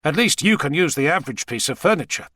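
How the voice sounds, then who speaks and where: Man acting a brash, animated president. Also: on the high side, fast, no room sound, clean studio sound, pitched above the performer's own voice. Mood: boastful. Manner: boastful, folksy. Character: American president, brash, heavy-set, megalomaniac schemer.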